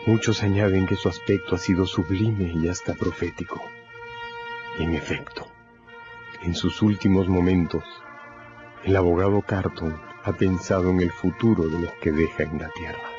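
A man's voice: sits low, steady tone, normal speed. A man reading scripted dramatic narration as the narrator of a radio drama. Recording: dull recording, music behind.

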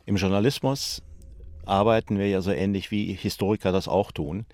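Deep-voiced male journalist, dry sound. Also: fairly even intonation, normal speed, darkish tone, no room sound. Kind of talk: explanatory commentary.

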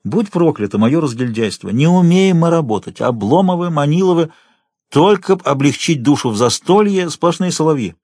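A man's voice: a little high, expressive, normal speed, darkish tone, no room sound, clean studio sound. A man reading a first-person literary story as an audiobook narrator, expressively shifting tone as he goes.

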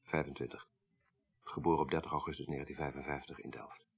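An actor playing a cool, self-assured professor of twenty-five in a radio drama, speaking formally and precisely. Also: male voice, very deep voice, flat delivery, quick pace, breathy edge. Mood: cool, dry. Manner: formal, precise, dryly correcting. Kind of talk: 1980s Dutch radio drama acting.

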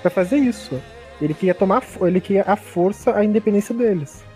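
Fast-talking man explaining eagerly. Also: high voice, warm tone, soft background music. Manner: rapid, explains with many fillers. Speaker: podcast co-host. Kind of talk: casual group chat.

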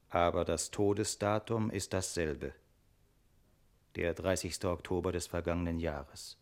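An actor playing a sober, reflective narrator who tells a literary radio tale in a deliberate, thoughtful manner. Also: male voice, low-pitched, darkish tone. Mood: grave, musing.

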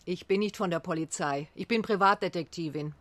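A woman's voice: on the low side, a little fast, fairly bright tone, no room sound, natural voice. An actress playing a no-nonsense female private detective in a radio drama, direct, businesslike and insistent.

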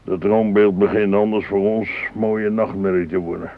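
A man playing a grumbling, pedantic old grandfather in a radio play, patiently explaining and gruffly correcting. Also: fairly low pitch, level tone, moderate pace, close to an everyday voice. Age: older. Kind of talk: radio drama dialogue. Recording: muffled old radio recording, faint noise.

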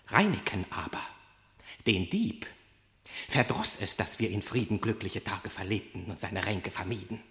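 A dignified rooster father in mourning in a classic radio play. He declaims his complaint in a mid-pitched voice with big melodic swings, lamenting and solemn. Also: a bit bright. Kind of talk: classic radio drama verse acting.

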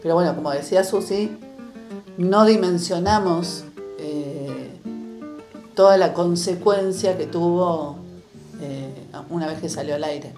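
An older Argentine woman talking reflectively in an interview, halting as she searches for words. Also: fairly low pitch. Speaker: interviewee, former radio drama maker. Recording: roomy echo with guitar music behind. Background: guitar music.

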